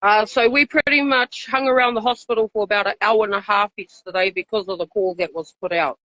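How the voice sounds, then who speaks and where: A woman's voice: medium pitch, fairly even intonation, brisk, pushed and bright, no echo. A woman with a New Zealand accent giving an earnest, informal live interview as an activist. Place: outside a hospital, live call. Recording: dull lo-fi live stream audio.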